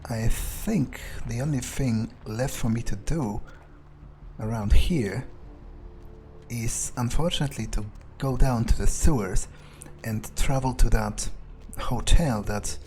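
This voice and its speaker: A cynical, jittery vampire played by a man talks to himself through a video game, with music clearly playing behind him. He takes his time, muttering and hesitating, and swears freely. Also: mid-range voice, slow pace, darkish tone. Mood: wary, resigned. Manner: muttering, hesitant, foul-mouthed. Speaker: gamer playing in character. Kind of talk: in-character video game playthrough monologue.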